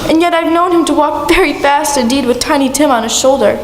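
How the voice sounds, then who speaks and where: Woman as bereaved mother, radio play, high voice, tender, mournful, dramatic, reverberant hall. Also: a little fast, natural voice. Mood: sad, gentle. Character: grieving, tender mother of a poor family. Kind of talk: live radio play acting. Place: school theatre stage before a live audience. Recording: echoey hall, clear background noise.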